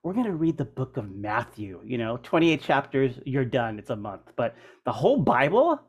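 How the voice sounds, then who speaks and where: A man's voice: mid-range voice, very animated, normal speed, slightly rough. A middle-aged man hosting a casual interview, talking informally and banteringly, with plenty of "like" and "man". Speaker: interview host.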